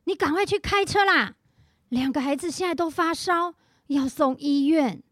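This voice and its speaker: A woman's voice: high voice, moderate pace, a bit bright, no room sound, studio quality. A woman playing a fretful, caring mother of two in a Taiwanese Mandarin children's radio drama. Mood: anxious, urgent. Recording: clearly noisy background.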